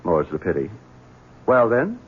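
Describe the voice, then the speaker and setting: An actor playing a domineering, edgy master of a Southern house in an old radio drama, curt and prone to stammer when flustered. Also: male voice, on the low side, huge pitch swings, a little slow, breathy edge, full character voice. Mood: curt, bitter. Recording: tinny, noisy vintage radio recording.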